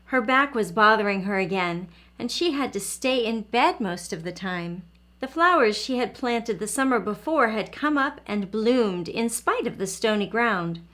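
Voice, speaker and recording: A woman's voice: a little high, lively intonation, moderate pace, a bit bright. A woman reading a children's picture book aloud as a teacher, expressively and addressing young listeners directly.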